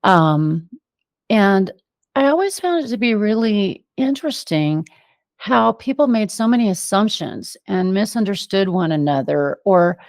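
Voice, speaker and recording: A woman's voice: medium pitch, animated, a little slow, darkish tone, no room sound, studio quality. An American woman being interviewed, reflecting on personal experiences and thinking aloud in loose, run-on sentences. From United States, Venezuela and Argentina. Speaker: interview guest.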